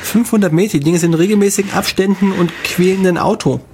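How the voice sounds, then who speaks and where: A man co-hosting a casual podcast, telling travel stories in a halting way with many fillers. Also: high voice, steady tone, a little mellow, slight background noise. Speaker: podcast co-host. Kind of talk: casual chat.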